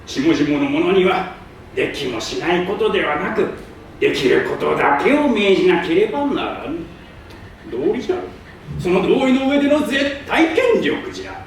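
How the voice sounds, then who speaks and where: Staged reading: grandiose old monarch, high-pitched and imperious, theatrical delivery, recorded far off in the hall. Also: male voice, sing-song, moderate pace. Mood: lofty, reasoning. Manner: pompous, imperious, archaic old-man speech. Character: pompous old king ruling a tiny asteroid. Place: public hall stage, distant microphone. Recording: echoey hall from far off, faint noise.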